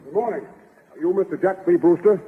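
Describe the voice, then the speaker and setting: An actor playing a polite, eager office clerk in 1930s radio comedy, with a formal manner. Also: male voice, high voice, animated, brisk, long reverb tail, heavy background noise. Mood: polite, insistent. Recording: muffled old 1930s radio recording.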